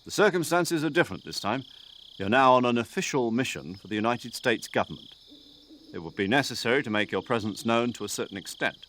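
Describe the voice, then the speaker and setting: A man voicing an older military commander in a video game, precise and drily good-humoured, articulate and measured as he briefs an agent. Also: fairly low pitch, a bit bright, slight background noise. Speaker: mission commander giving a radio briefing.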